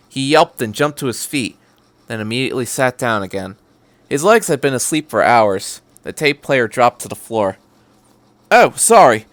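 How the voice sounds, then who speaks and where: Male podcast narrator for fan fiction, average pitch, very varied intonation. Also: fairly bright tone, no echo. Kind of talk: scripted narration, story reading.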